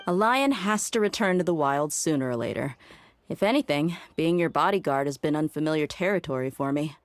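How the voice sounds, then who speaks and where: A woman's voice: deep voice, animated, fairly quick, no echo. A woman playing a tough, free-spirited desert mercenary in an English anime game dub, speaking in a confident, plainspoken, easygoing way. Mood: wry, assured.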